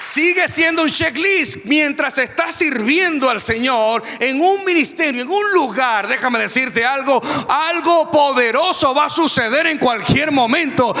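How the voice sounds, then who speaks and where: A middle-aged man preaching a lively sermon to a church, emphatic and repetitive, with touches of humor. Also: very high voice, big-room sound. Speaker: pastor.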